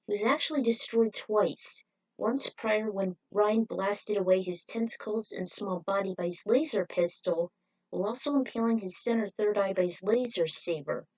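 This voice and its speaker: A woman narrates her own fan story in an amateur recording. Her voice sits at a medium pitch and a bit back from the mic. She delivers it with drama and strong opinions. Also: moderate pace, a little mellow, distant mic, rough recording. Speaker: podfic narrator. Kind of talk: amateur fan fiction reading with commentary.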